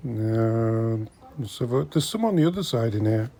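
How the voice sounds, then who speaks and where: A man's voice: mid-range voice, lively intonation, moderate pace, mellow. A middle-aged man giving casual, chatty commentary to viewers while browsing in a shop, sometimes muttering to himself. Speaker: host of a vintage collecting vlog. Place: inside a secondhand shop.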